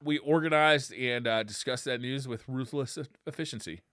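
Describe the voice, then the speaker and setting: A man's voice: medium pitch, moderate pace, a bit bright, clear, resonant tone, no room sound. A man co-hosting a casual retro gaming podcast, talking in a conversational way. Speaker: podcast co-host.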